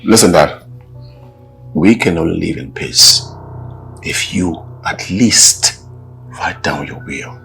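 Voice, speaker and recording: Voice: lively intonation, slow pace, gravelly, long pauses, bright, forceful tone, soft background music, heavily acted. A performer voicing a hot-headed grown younger son who is greedy and combative. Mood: demanding. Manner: whiny and combative, swings high and low. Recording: roomy echo.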